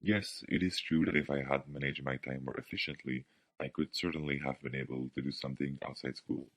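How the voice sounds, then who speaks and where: A young male student with an extremely deep voice takes part in a scripted radio debate. He words his view formally, recorded dry and without room sound. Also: steady tone, a little fast, no echo. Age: young adult.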